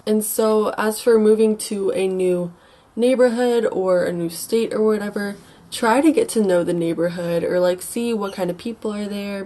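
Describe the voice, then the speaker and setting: A woman's voice: mid-range voice, mellow. Stuffy-nosed young American woman chatting casually. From United States. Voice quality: stuffy, congested nose. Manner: informal, rambling, hesitant. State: mildly sick with a stuffy nose. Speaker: advice vlogger. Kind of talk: casual advice chat.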